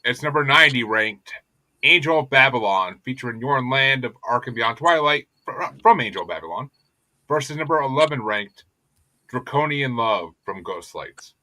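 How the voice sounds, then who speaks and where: A male podcast host with a bright, forceful voice recorded dry and close. He talks casually and emphatically, swearing often and circling back over his own phrases. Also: medium pitch, lively intonation, moderate pace, no room sound, poor audio. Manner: profane, emphatic, repetitive. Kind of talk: casual opinionated music podcast chat.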